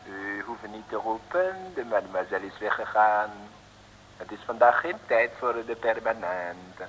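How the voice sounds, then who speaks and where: An actor playing a theatrical, menacing French barber with a French accent and broken Dutch in an old radio comedy-thriller. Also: male voice, lowish voice, lively intonation, unhurried, fairly bright tone. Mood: coolly menacing. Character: flamboyant, menacing French barber, secretly an agent. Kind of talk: 1960s radio serial acting. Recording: reverberant, noisy old radio recording.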